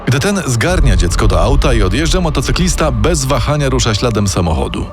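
A man narrating a scripted true-crime programme dramatically, with suspenseful storytelling and pointed pauses. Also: mid-range voice, very animated, over music.

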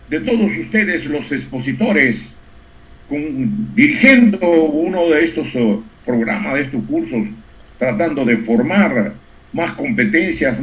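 Older man, formal oratory, deliberate short phrases, middling pitch, dull lo-fi video call sound. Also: a bit bright. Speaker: education institute director giving a closing speech. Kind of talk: formal speech. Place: indoors on a group video call. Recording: muffled, lo-fi video call audio.

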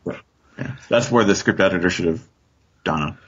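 Husky, low-voiced male podcast guest. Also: moderate pace, a bit bright, lo-fi sound. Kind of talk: casual fan discussion.

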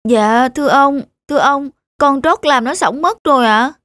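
A man's voice: very high-pitched, normal speed, pushed and bright. A man playing a meek, kind young farm servant in a cartoon folk tale, humble and hesitant. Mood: frightened, pleading. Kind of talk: animated folk tale acting. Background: music.

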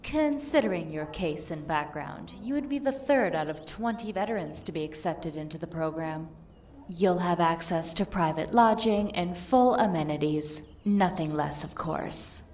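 Woman playing a composed, low-voiced military lieutenant. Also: lively intonation, a little mellow, distant mic, a little hiss or hum, lightly acted. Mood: formal, persuasive. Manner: formal, measured, matter-of-fact. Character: blunt, composed female lieutenant recruiting for a program. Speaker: actor in an audio drama. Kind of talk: audio drama acting. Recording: off-mic voice under music.

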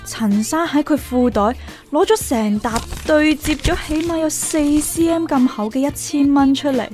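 A woman's voice: sits high, moderate pace, a little mellow, soft background music, close to an everyday voice. An actress playing a modest, wry woman renter in a radio drama, polite and understated, with a Hong Kong accent. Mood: narrating. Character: modest, wry young woman renter, narrating her troubles. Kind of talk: radio drama dialogue and inner monologue.

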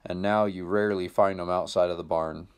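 A man's voice: sits low, steady tone, a little fast, clean tone. An American man in his thirties talking casually to viewers in a laid-back, plain-spoken way, like a DIY vlogger. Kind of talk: casual vlog narration to viewers.

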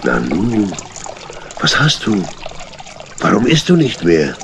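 An actor playing a sly, falsely chummy tramp in a children's audio play, with an oily, folksy drawl. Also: male voice, fairly low pitch, wildly swooping pitch, slow pace, slight background noise, exaggerated voice. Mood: wheedling, crafty. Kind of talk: children's audio drama acting.

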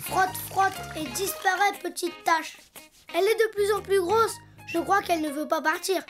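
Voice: very high-pitched, normal speed, faint music behind. A performer voicing a cheerful, imaginative little boy donkey in a children's cartoon, chatty and childlike. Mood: cheerful, busy. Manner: childlike, talking to himself, expressive.

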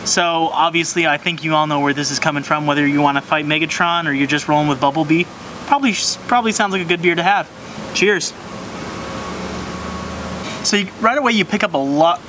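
A man's voice: on the high side, background noise. A young man hosting a casual beer review on an outdoor brewery patio, describing flavors with enthusiasm and stumbling over repeated words. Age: young adult.

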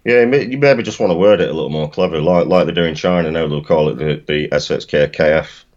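A man in his thirties with a very low voice talks emphatically, repeating his words and dropping British slang. The sound is dull and lo-fi, like a webcam call. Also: fast, darkish tone. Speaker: co-host of a vaping live show. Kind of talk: casual live-stream chat. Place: home room, indoors.

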